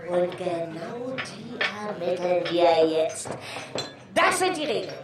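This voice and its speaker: A woman's voice: fairly low pitch, expressive, slow. An actress playing a raucous pirate woman hosting an event from a stage in a children's radio play, with theatrical announcing. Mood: rousing, showy. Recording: echoey tavern stage, distant, crowd behind.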